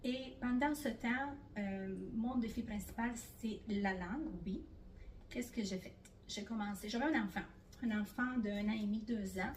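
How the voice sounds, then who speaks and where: A woman's voice: on the high side, normal speed, far from the mic, slight background noise. Italian-accented woman in a roomy space. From Italy. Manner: hesitant, many fillers. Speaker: guest. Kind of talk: casual interview.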